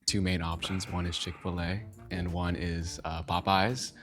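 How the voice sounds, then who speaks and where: A man's voice: low voice, level tone, unhurried. A man in his twenties with an American accent, talking casually in a group discussion. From Los Angeles, United States. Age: 20s. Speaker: panel participant. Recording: loud music under speech.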